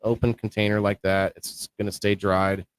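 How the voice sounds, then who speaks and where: A man co-hosting a casual livestream about indoor growing, talking in a low-key, fairly monotone way. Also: normal speed, clean tone, dry recording. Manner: low-key, self-described monotone delivery. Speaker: co-host of a live-streamed indoor growing show.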